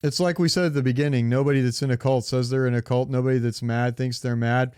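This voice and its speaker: A man's voice: mid-range voice, steady tone, fast, darkish tone, clear, resonant tone, no room sound, studio quality. A man chatting casually and enthusiastically as a podcast co-host, with some loose profanity. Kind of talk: casual pop-culture podcast chat.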